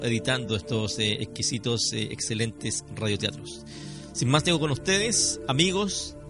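A man with a Chilean accent hosting radio, giving an ad-libbed introduction in a hesitant, deliberate way with frequent tag questions. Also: medium pitch. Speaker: radio host. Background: music.

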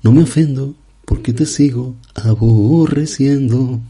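A man playing a gravelly old bolero crooner. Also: medium pitch, unhurried, warm tone, lightly acted. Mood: pompous. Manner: grandiose, addresses host as young man. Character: aging male bolero crooner, pompous and absurd. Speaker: interview guest. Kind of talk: comedy sketch interview.

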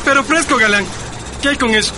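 An actor with an Ecuadorian accent playing a laid-back, frank young rocker who talks in slang, in a radio soap opera. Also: male voice, very high-pitched, fast. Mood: unbothered. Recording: loud urban street and car noise.

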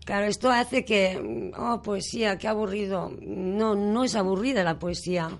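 A woman, a poet guest on a radio show, speaking in a slow, emphatic, conversational way. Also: mid-range voice. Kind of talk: casual radio interview.

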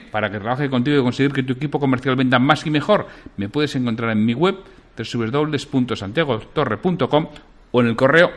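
A man hosting a solo podcast, giving opinionated commentary on the news and putting questions straight to his listeners. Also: medium pitch. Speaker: podcast host.

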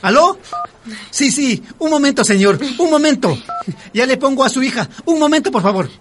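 An eager, kind young man in a radio drama. He talks urgently with a widely moving pitch, repeating words as he goes. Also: expressive, normal speed, a little hiss or hum.

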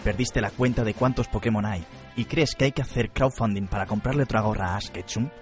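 A man's voice: on the low side, a little fast. Male radio announcer over prominent music. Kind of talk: scripted radio promo narration.

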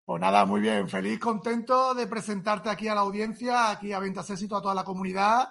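A man's voice: high-pitched, fairly even intonation, brisk, creaky, fairly bright tone. A man hosting an interview, eager and prompting his guest, often ending lines with question tags. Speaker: podcast host.

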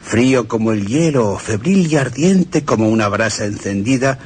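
Man acting lurid vampire expert, lively intonation, formal literary recital, radio drama, faint rumbling noise. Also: normal speed, lightly acted. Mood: grim, lurid. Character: male vampire scholar reciting grim, lurid descriptions.

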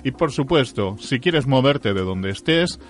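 A man's voice: mid-range voice, expressive, a little slow, faint music behind. A man with a Spanish accent from Spain hosting radio, making casual, ad-libbed announcements with chatty, joking asides. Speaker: radio host.

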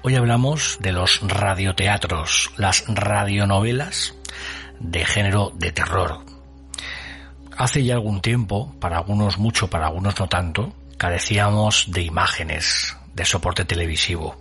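A man with a deep voice hosts and narrates in an atmospheric, scripted style. His tone is bright and forceful, his phrasing solemn and broken by deliberate pauses. Faint music runs behind him. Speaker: radio show host.

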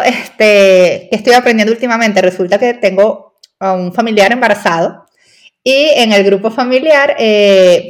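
Chatty Venezuelan woman guest. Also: mid-range voice. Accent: Venezuelan Spanish. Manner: chatty, frequent tag questions and fillers. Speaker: podcast guest, nutritionist. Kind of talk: casual interview chat.

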